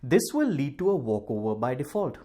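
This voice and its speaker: A male news presenter with a mid-range voice delivers a scripted explainer. His phrasing is deliberate and formal, laid out in a measured way for the viewer. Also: mid-range voice, sing-song, dark tone.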